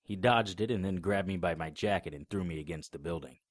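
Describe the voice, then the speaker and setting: A man narrating a radio drama in the first person with a deep, low voice. He tells it in a wry, matter-of-fact way, recorded dry and close to the mic. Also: a little fast, dry recording. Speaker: narrator and lead actor.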